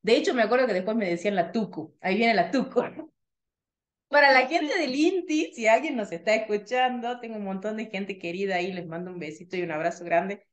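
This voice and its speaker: A woman in her thirties from Tucumán with a slightly softened Tucumán Argentine accent, co-hosting a casual radio podcast chat with trained, clear articulation. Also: mid-range voice, fairly quick. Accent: Tucumán Argentine Spanish, slightly softened. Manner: chatty, lively, trained articulation.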